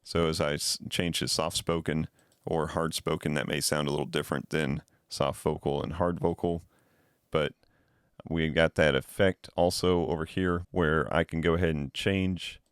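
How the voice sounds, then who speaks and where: A man with a deep, low-set voice walks through a gadget review in an easygoing way, explaining as he goes and thinking out loud. The sound is dry and close, with no room around it. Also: normal speed, smooth, dry recording. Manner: relaxed, explanatory, thinking aloud. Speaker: tech reviewer. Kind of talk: casual product review demo.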